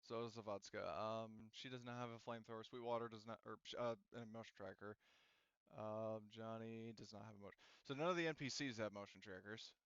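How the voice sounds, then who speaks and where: Male game master, smooth and resonant, loose halting table talk, dry muffled recording. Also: on the low side, steady tone, a bit bright, dry recording. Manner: rambling, halting, peppered with filler phrases.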